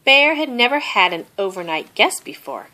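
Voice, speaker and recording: A woman reading a children's story aloud as an expressive narrator. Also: medium pitch, moderate pace, rough recording. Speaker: storybook reader.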